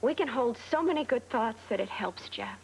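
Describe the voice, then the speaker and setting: An actress playing a composed, supportive, gently wry woman doctor in a television soap, with measured delivery. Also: a little high, expressive. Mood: reassuring. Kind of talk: television soap opera acting. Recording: clearly noisy television soundtrack.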